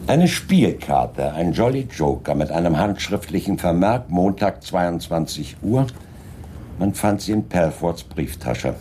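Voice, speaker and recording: A composed, persistent inspector in a radio crime drama. The voice is very low yet varied in melody, with measured, courteous phrasing. There is clear noise in the recording. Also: male voice, animated, dark tone. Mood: serious, insistent. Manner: measured, politely insistent, deliberate. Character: dry, composed, persistent male Scotland Yard inspector. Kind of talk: 1980s German radio play acting.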